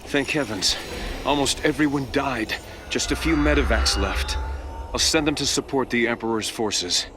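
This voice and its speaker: A shaken soldier played by a man reports in a middle-pitched voice. The game dialogue is nearly swamped by loud vehicle and battlefield noise. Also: normal speed, close to an everyday voice. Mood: shaken, relieved. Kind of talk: video game voice acting. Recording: very noisy battlefield vehicle ambience.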